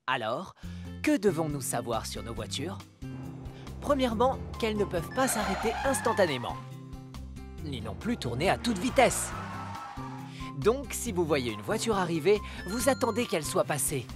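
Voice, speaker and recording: Lively, cautious cartoon dad. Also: male voice, high-pitched. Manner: expressive, explanatory. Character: gentle, cautious cartoon father teaching road safety. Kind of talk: French-dubbed children's cartoon acting.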